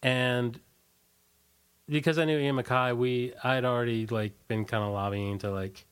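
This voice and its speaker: A man being interviewed in a casual, rambling way, halting and often restarting his sentences. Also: mid-range voice, steady tone, fairly bright tone, clear, resonant tone. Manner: rambling, halting, many false starts. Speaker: interview guest, punk band singer. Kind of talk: casual conversational interview, recollecting.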